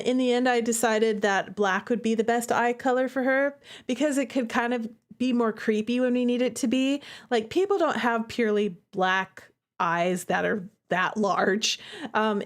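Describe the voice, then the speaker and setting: A woman with a fairly high voice chats casually as she streams. She is expressive and giggly, talking through her own game like a developer sharing notes.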